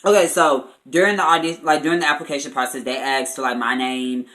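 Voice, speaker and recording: Bright-voiced young American man, chatty storyteller. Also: medium pitch, little pitch movement, fast, slight background noise. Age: 20s. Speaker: YouTube vlogger. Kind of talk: casual storytime chat to viewers.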